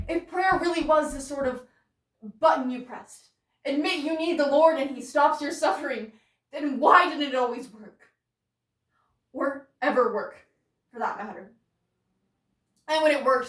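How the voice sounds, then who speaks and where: A woman's voice: high voice, fairly bright tone. Woman acting bitter grieving woman in echoey room. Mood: questioning, indignant. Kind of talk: dramatic stage monologue. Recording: roomy echo, distant microphone.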